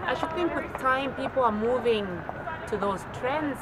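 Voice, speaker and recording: A woman answering a street interview on a university campus, pausing to search for words. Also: sits high, expressive. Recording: loud outdoor street noise.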